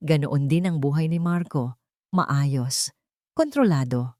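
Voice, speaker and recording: A woman's voice: deep voice, takes it slowly, darkish tone, no room sound, clean studio sound. A woman narrator reading a scripted romance story in a dramatic storytelling style.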